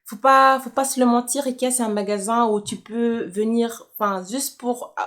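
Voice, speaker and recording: A woman's voice: fairly high pitch, steady tone, moderate pace, fairly bright tone. A young woman from Kinshasa chatting casually in a personal question-and-answer vlog, correcting herself as she thinks aloud. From Congolese, from Kinshasa, living in Switzerland. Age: young adult. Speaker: YouTuber.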